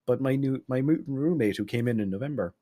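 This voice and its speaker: A man's voice: mid-range voice, fairly quick, no room sound. Guy on a podcast, chatty and emphatic, cheap mic, dry sound. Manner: lively, storytelling, emphatic. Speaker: guest streamer. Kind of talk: casual podcast chat. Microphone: budget desk mic through a mixer.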